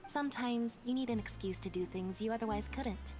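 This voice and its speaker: A woman voicing a cool, composed teenage magician's assistant in a video game, deadpan and measured. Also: on the high side, natural voice. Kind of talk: video game character acting. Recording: game music behind the voice.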